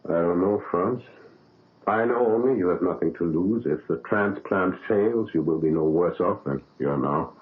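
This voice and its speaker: A man acting a grave older doctor and father with solemn, halting delivery in a 1970s radio drama. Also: sits low, close to an everyday voice. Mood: solemn, persuasive. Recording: muffled, tinny vintage radio broadcast.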